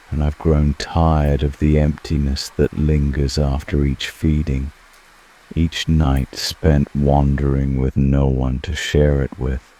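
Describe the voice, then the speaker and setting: Guy doing an ancient vampire for asmr, super low dark voice, slow n intimate, formal, seductive, kinda lonely. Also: exaggerated voice, rough recording. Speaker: ASMR roleplay performer. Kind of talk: scripted ASMR roleplay.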